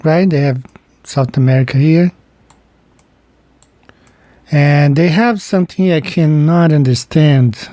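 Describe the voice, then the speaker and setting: Slow-talking man with mellow voice. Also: fairly high pitch, no echo, clean studio sound. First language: Portuguese. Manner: slow, meandering, pointing things out on screen. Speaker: channel host. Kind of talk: casual screen-share explainer.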